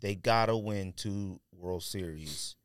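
A man's voice: low-pitched, slow pace, clean tone, dry recording, clean studio sound. A man co-hosting a casual sports podcast, talking emphatically in slang and repeating himself to press a point. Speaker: podcast co-host.

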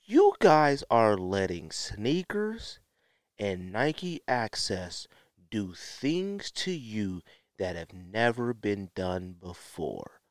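A man with an American accent giving opinionated solo podcast talk in a blunt, emphatic way, with drawn-out pauses and repeated phrases. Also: fairly low pitch, big pitch swings, no room sound, studio quality. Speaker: podcast host.

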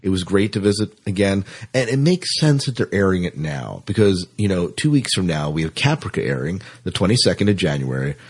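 A man's voice: sits low, lively intonation, fairly quick, few pauses, breathy edge. A man hosting a podcast, chatting casually and enthusiastically as a science fiction fan, often repeating himself for emphasis. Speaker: podcast host. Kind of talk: casual fan discussion of sci-fi TV.

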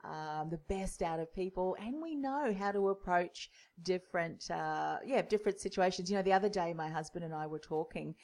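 Low-voiced woman podcast host. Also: normal speed, hardly any pauses, a little mellow. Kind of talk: podcast interview.

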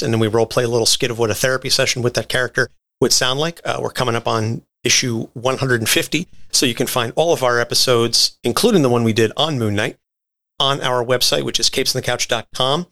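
A man's voice: medium pitch, creaky, sharp tone. A man speaking casually as a podcast guest, plugging a show.

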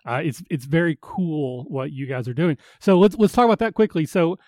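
A man's voice: fairly high pitch, brisk, a little mellow, no echo. A man with a Kentucky accent who hosts a podcast interview, upbeat and friendly and tripping over his words. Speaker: podcast host. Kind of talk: casual interview.

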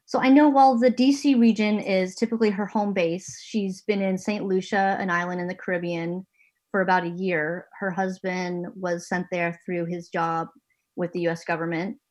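A woman's voice: on the low side, fairly even intonation, moderate pace, darkish tone. Woman hosting a podcast from home. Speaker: podcast host and managing editor. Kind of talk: scripted podcast interview. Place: home basement.

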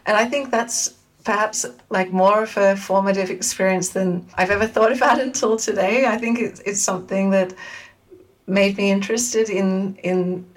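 Woman, forties, Australian accent, lower-pitched voice, measured and reflective, interview guest, a little away from the mic. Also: steady tone, recorded from a distance. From Sydney, Australia. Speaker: guest, media studies academic.

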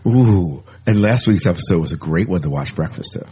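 A man's voice: low voice, lively intonation, fairly quick, warm tone, a little hiss or hum. A man co-hosting a casual sci-fi fan podcast, rambling and jokey, often repeating words for emphasis. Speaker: podcast co-host.

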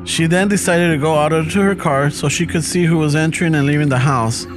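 A man with a Chicano English accent, casually reading a listener's story aloud on a podcast, relaxed and profane, mixing in Spanish phrases. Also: on the high side, a little fast. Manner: laid-back, swears often, laughs through lines. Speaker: podcast host. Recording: music playing underneath.

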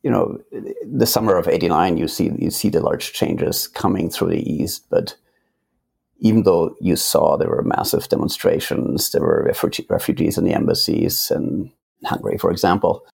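A man, speaking calmly as an interview guest in a hotel room. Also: gravelly, husky. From Germany. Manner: calm, low-key, measured. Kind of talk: interview conversation.

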